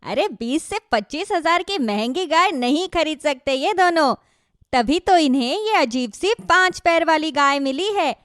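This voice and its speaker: Woman playing a high-pitched scornful village busybody. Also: lively intonation, a little slow, fairly bright tone, dry recording, clean studio sound. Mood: mocking. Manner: scolding, exclamatory. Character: scornful, nosy village woman mocking others.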